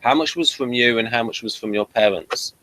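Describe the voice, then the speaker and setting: A middle-aged man interviewing over a headset from his home study, gently prompting with follow-up questions. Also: medium pitch, brisk, poor audio. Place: home study with bookshelves, indoors.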